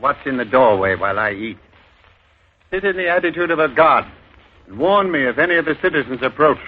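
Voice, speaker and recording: A man's voice: mid-range voice, lively intonation, fairly quick, natural voice. A man acting a sly beggar king posing as a god. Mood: sly commanding. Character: cunning beggar leader posing as a god, male adult. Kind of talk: 1930s radio drama acting. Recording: muffled old radio broadcast with music.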